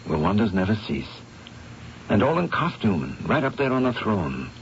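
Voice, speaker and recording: An actor playing a troubled, obsessive painter in a radio mystery drama, speaking with theatrical intensity and hesitant repetitions. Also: male voice, fairly low pitch, sing-song, normal speed, slight background noise. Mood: awed, absorbed. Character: troubled, obsessive male painter, fearful and awestruck. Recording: muffled vintage radio broadcast, music underneath.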